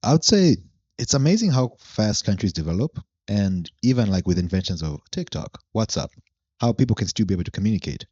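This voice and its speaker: A young man from Zambia, a podcast guest, explaining his background at length in a relaxed chat. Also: fairly low pitch, fairly quick, breathy edge, dry recording, dull audio. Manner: relaxed storytelling, long explanations. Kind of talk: casual chat.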